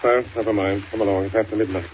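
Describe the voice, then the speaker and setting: An actor playing a hearty, fearless governor in 1940s radio style, folksy and commanding, on a dull, noisy old recording. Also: male voice, on the low side, fairly even intonation, rapid-fire, natural voice. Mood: decisive, brusque. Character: bluff, hearty, fearless male state governor.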